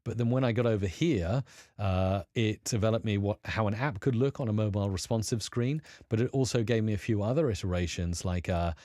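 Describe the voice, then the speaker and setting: A male live streamer talks in a low, warm voice, chatting loosely with his viewers. He rambles with lots of fillers but stays upbeat and encouraging. The sound is dry and close. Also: fairly quick, dry recording. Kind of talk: casual live stream talk answering chat.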